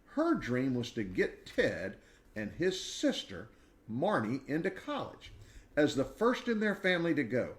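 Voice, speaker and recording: A man reading a children's book aloud in an expressive storytelling style. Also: mid-range voice, unhurried.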